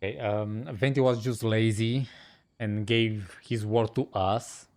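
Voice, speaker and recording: A man's voice: on the low side, fairly even intonation, a little slow, a little mellow. A man giving casual, fragmentary reactions as he streams a video game, in short remarks. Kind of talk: casual gameplay reaction commentary.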